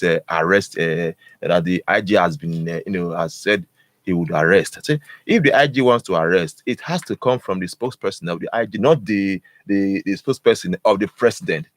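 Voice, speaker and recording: A man giving analysis in a radio studio. His voice is very low, and he circles his points with many fillers and restarts before landing them emphatically. The mic is close and dry. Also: no echo. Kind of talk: discussion on radio.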